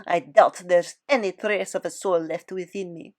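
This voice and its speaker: A woman's voice: lowish voice, steady tone, moderate pace, a little mellow, natural voice. A woman playing a compassionate, conscience-stricken Soviet scientist in a horror audio drama, earnest and pleading. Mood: pleading, defiant.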